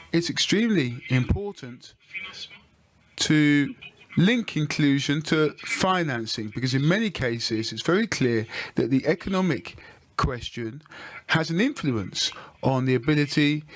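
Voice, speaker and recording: A man moderating a panel, explaining points and handing over questions in a measured, polite way. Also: a little high, fairly bright tone, slight background noise.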